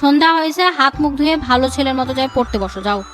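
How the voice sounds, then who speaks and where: A woman playing a poor, exasperated village mother in an animated story, speaking earnestly. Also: sits high, rapid-fire, faint music behind.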